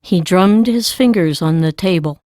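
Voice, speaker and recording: A woman narrates a story for an audiobook at a very slow, deliberate pace. Her voice sits fairly low, and the recording is dry, with no room sound. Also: darkish tone, no echo.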